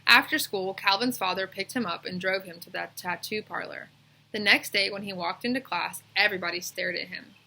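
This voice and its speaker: A woman reading a children's story aloud as a storyteller, in an expressive, animated way that suits acting out dialogue. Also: medium pitch, a little fast, vocal fry, rough recording.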